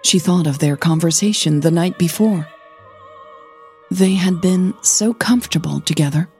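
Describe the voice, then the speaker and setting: A woman reading scripted fiction as the narrator of an audio drama, in a measured, gentle manner. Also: lowish voice, faint music behind.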